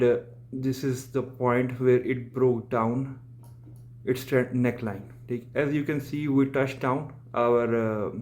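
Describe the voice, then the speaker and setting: A man's voice: medium pitch, darkish tone. A young man whose first language is Urdu, walking through trading charts on a screen share in English, with many fillers and restarts. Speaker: crypto trading channel host.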